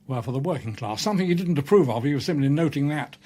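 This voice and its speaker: A man's voice: fairly high pitch, expressive, fast talker, dark tone. A man gives unscripted expert commentary, choosing his words with some hesitation.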